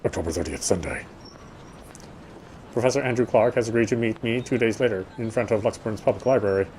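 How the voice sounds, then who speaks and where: Guy reading a visual novel out loud, relaxed mellow voice, stumbles a bit, faint noise n rumble. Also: a little fast. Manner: stumbles and rereads phrases. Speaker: game player reading narration aloud. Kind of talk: read-aloud narration of a visual novel.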